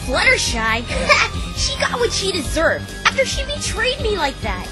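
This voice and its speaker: A woman's voice: very high-pitched, wildly swooping pitch, normal speed, over music, exaggerated voice. A woman voicing a brash, melodramatic cartoon pony with wounded pride and a blunt manner. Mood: scornful.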